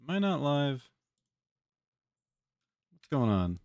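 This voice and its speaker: A man's voice: medium pitch, big pitch swings, takes it slowly, a little mellow, no room sound. A man streaming live and chatting casually with his viewers, laid-back and slangy with free swearing, his voice sounding slightly congested. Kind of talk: casual live-stream chat while gaming.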